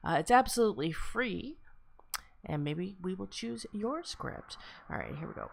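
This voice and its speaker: A woman's voice: medium pitch, animated, normal speed. A woman hosting an online table read in casual livestream chat. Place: indoors on an online voice chat stream. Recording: clear background noise, clicking.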